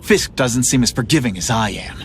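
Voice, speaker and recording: An actor playing a sarcastic, joking young superhero in a game cutscene. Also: male voice, medium pitch, expressive, brisk, vocal fry, music in the background. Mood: wisecracking. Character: wisecracking young male superhero.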